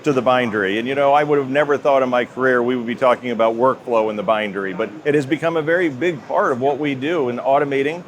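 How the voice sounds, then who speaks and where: A middle-aged American man answering interview questions as a company executive in measured corporate talk. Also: mid-range voice. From United States. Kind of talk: trade show interview. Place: trade show floor. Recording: noisy show-floor ambience.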